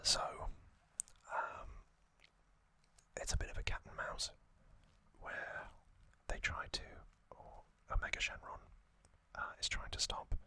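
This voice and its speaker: Whispering male ASMR host. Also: sharp tone. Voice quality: breathy unvoiced whisper. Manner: unhurried, halting, short phrases.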